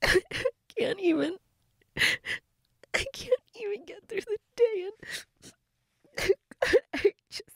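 A woman acting a grieving girlfriend in an intimate audio role-play, crying and fragile, halting and repeating herself, often dropping to a whisper. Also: extremely high pitch, lively intonation, no echo, studio quality, heavily acted. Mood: grieving, sobbing. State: crying, sniffly, breathy from tears. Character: grieving girlfriend, tearful and fragile, insisting she's fine.

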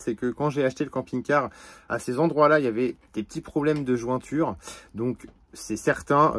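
A man with a slightly high voice talks in a dry, close sound. He demonstrates a product to viewers casually, using slang and an informal, joking delivery. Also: no echo. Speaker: camper van vlogger testing a product.

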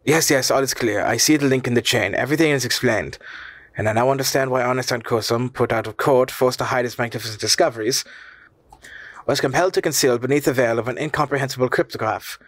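A man performs a cunning, excitable learned uncle from a classic storybook. He talks formally but abruptly, keeping his guard up, in a medium male pitch on a dry recording. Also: fast talker, no room sound, natural voice. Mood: excited, flustered.

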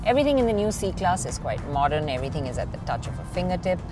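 A middle-aged woman hosts a travel and motoring show, describing what she sees with real enthusiasm. Her voice sits a little low for a woman. Loud background music plays under her narration. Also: very animated, fast talker. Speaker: motoring journalist and travel host. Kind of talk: travel vlog narration and on-camera commentary. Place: underwater observatory, then inside a car.

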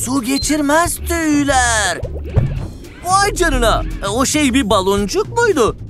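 A woman voicing an excitable, curious young male explorer in a dubbed children's cartoon, full of wonder and exclamation. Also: high-pitched, measured pace. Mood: fascinated. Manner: exclamatory, wonder-filled, enthusiastic. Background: music.

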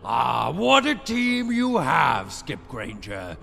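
A man's voice: mid-range voice, huge pitch swings, slow pace, creaky, sharp tone, heavily acted. A male actor playing a grandiose, gloating villain admiral in an audio drama, declaiming pompously and savoring his words. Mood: grandiose, sneering. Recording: long reverb, music underneath.

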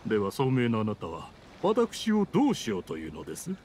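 A man's voice: on the low side, wildly swooping pitch, brisk, a little mellow. A man playing a scheming, easily riled official in a Japanese anime game, formal and pompous with a defensive, indignant manner. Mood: defiant, smug. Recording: game dialogue over ambient scene noise. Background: game scene ambience.

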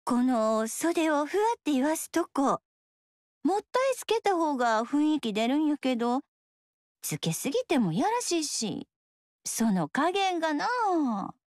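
A woman's voice: sits high, sing-song, no echo. An actress voicing a playful young female dancer who speaks with a Kyoto accent in a game. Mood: teasing. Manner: slow, teasing, soft Kyoto-style speech. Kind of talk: game dialogue. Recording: dry studio voice.

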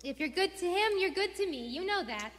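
An actress playing a genteel, loyal wife with a brisk, proper, theatrical manner in a radio play. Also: female voice, extremely high pitch, lively intonation, a bit bright. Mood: fond. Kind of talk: stage radio play acting. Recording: echoey theatre hall.

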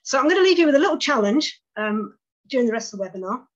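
A woman's voice: high-pitched, big pitch swings, quick pace. Earnest woman urging action on a dull line. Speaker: guest speaker. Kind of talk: closing a presentation.

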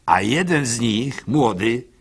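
An actor playing a gullible, soft-hearted village baker who tells his story in a halting, plaintive way for a radio play. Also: medium pitch, lively intonation, husky, full character voice. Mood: indignant, recounting. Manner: halting, stammering, plaintive, swinging between hushed and loud. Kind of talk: dramatic first-person storytelling for a radio play.

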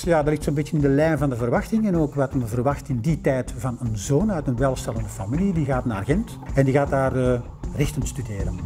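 Flemish man, 50s, museum director; slightly high, mellow voice; soft music underneath. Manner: measured, storytelling with filler "eh". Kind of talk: documentary interview storytelling. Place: library room with bookshelves. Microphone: lapel mic.

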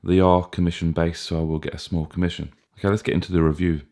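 Tech reviewer, male, 30s: very low, gravelly and chesty from a cold, laid-back with lots of ums, faint music underneath. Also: quick pace. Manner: relaxed, plain talk with frequent fillers and restarts. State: chesty, deep hoarse voice from a cold. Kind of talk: casual product review.

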